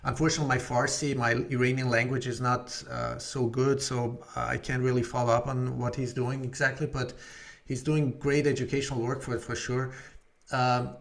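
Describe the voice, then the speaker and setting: A man's voice: medium pitch, little pitch movement, moderate pace, creaky, a bit bright. A man hosting an interview, asking long, rambling questions that stall on "like" and "you know". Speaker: host.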